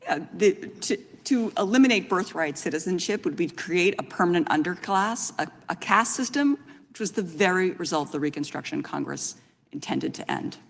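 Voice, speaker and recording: A woman's voice: on the low side, lively intonation, normal speed, gravelly. A woman giving expert testimony at a committee hearing, explaining her points firmly. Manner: firm, explanatory. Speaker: expert witness. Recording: long reverb of a hearing room.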